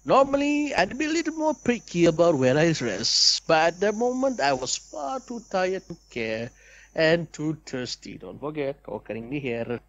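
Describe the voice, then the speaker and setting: A young man reads visual novel narration aloud as a let's player, deliberate and storybook-like, with casual asides. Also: fairly high pitch, very animated, a bit bright, no room sound, dull audio.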